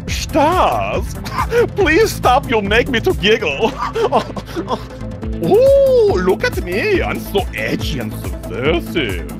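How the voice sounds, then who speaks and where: A vain, campy vampire villain with a German accent, speaking slowly and haughtily. The pitch swoops theatrically from low to giggly high in cartoon style, with music behind it. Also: male voice, wildly swooping pitch, exaggerated voice. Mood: mocking, giggly. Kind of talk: animated comedy game voice acting.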